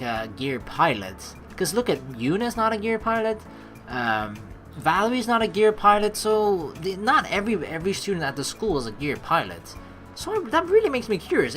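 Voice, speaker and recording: Woman gamer, slightly low bright voice, lively intonation, rambling casual commentary, reads text aloud, faint game music. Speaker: let's player.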